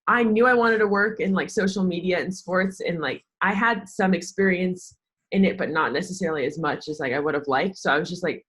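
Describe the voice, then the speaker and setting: Young American woman, slightly low voice, informal and chatty podcast guest, frequent "like" fillers. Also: a little fast, fairly bright tone. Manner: informal, chatty, heavy use of "like". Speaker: podcast guest, sports social media worker. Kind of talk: casual interview chat.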